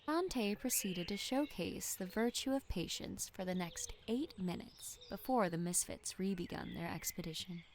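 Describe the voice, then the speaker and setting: A woman's voice: mid-range voice, expressive, moderate pace, hardly any pauses, noisy background. A woman reading scripted narration for a medieval fantasy audio drama. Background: faint music.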